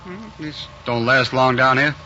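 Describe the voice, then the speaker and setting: An actor playing an unflappable, firm charter pilot in a 1940s radio crime drama. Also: male voice, mid-range voice, unhurried, pushed and bright. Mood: unhurried, firm. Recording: dull, hissy 1940s radio recording.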